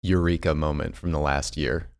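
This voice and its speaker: Podcast host guy, really deep voice, super dry close sound, asks curious questions. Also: fairly even intonation, moderate pace, dry recording. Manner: curious, chimes in with short backchannels. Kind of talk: interview questions.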